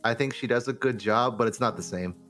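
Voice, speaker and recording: Casual male podcaster, mid-range voice, animated griper, faint music behind him. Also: medium pitch, a little fast. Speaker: podcast guest.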